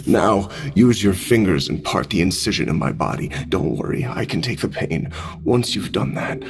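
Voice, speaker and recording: An actor playing a sinister, manipulative talking pig in an audio drama, coaxing and commanding in a deliberate, gravelly voice. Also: male voice, deep voice, expressive, exaggerated voice. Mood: eager, commanding. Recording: music under the voice.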